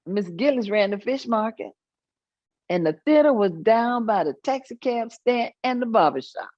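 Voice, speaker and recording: A woman's voice: fairly high pitch, lively intonation, normal speed, no room sound, lo-fi sound. An actress playing an elderly Southern grandmother in a staged reading, reminiscing in a folksy way with thoughtful hums. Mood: fond reminiscing. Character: elderly Southern grandmother recalling her midwife mother. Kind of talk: staged reading of oral history monologue.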